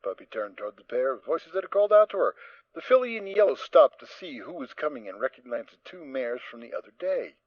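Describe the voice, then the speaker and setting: A man narrating a fan audio drama in an expressive storytelling manner. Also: medium pitch, quick pace, no room sound. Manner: expressive reading. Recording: dull, dry lo-fi recording.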